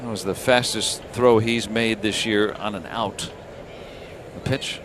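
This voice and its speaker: A man's voice: lowish voice, steady tone, unhurried, noisy background. A man doing live radio play-by-play commentary of a baseball game.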